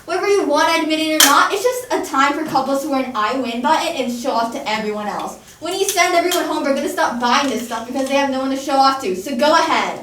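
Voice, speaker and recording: A high-voiced, bitter Tooth Fairy played by a woman argues with sarcasm and bite, in stage comedy style. She is heard from a distance in an echoey room. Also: lively intonation, fast talker, hardly any pauses. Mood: scornful. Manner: combative, argumentative, sarcastic. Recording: echoey room, distant mic, faint noise.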